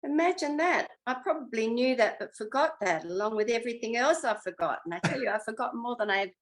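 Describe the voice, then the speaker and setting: A woman's voice: a little high, lively intonation, quick pace. An older woman with an Australian accent reminiscing in a casual interview, hesitant as she searches her memory. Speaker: interviewed former actor.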